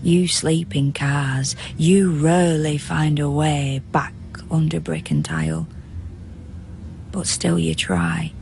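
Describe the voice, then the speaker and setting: A woman narrating a poetic radio drama in a measured, hushed, deliberate way, as a grave observer of men sleeping rough. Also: low voice, exaggerated voice. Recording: faint background noise and ambience.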